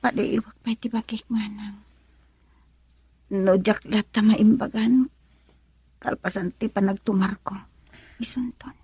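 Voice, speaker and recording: A woman's voice: medium pitch, fairly even intonation, a little slow, pauses often, warm tone, dry recording. A woman playing a tired, sick young wife working abroad in a radio drama, speaking weakly and breathily. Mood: weary, weak. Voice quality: breathy, weak. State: sick, weary, whispery.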